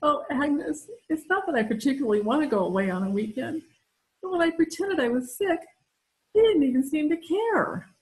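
A woman's voice: sits high, expressive, normal speed, darkish tone, low-quality recording. A middle-aged woman playing a genteel, put-upon wife in an amateur old-time radio comedy. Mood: wounded. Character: melodramatic, put-upon wife feigning frailty, sweetly genteel. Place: room at home, video call. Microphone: video call.

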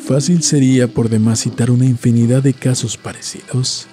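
A man reading a scripted horror story in a measured, literary storytelling manner. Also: mid-range voice, fairly even intonation, warm tone. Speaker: narrator. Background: music.

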